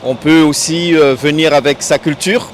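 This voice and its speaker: A man who grew up in France, calmly giving his opinion in the street. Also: a little high, normal speed, slight background noise.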